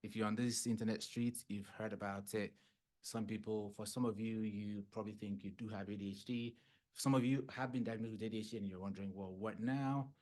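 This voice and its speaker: Low-voiced psychologist host from Ghana. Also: male voice, steady tone, brisk, a little mellow. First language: Fante. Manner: conversational, checks in with "right?" and "yeah?". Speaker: clinical psychologist podcast host. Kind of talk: casual explanatory podcast talk.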